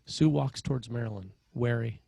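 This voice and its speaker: A man reading screenplay action as narrator, in clipped, dramatic, measured phrases. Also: mid-range voice, gravelly, dark tone, slightly rough, no room sound. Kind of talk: scripted screenplay narration, present tense.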